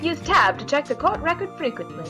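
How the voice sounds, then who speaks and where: A high female voice put on by a streamer plays a composed senior lawyer in a courtroom game. She instructs with animated, swinging intonation. Music plays clearly behind her. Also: brisk. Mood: instructive. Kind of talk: voiced visual novel read-through on a game stream.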